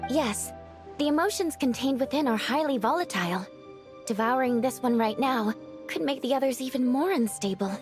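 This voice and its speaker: A polite little round creature from a video game, speaks in a high voice. She explains things earnestly and helpfully, with soft music underneath. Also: animated, moderate pace. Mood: earnest, informative.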